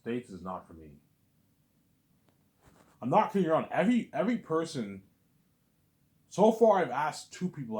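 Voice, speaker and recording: A man's voice: lowish voice, a little mellow. A man talking to camera in a casual, rambling monologue, blunt and conversational, who keeps repeating and restarting his words. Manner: repetitive, restarts words often; blunt, conversational, asks "you know what I'm saying". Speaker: vlogger.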